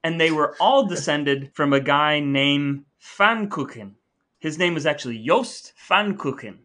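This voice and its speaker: Male podcast co-host, bright forward tone, casual storyteller. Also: on the high side, lively intonation, unhurried.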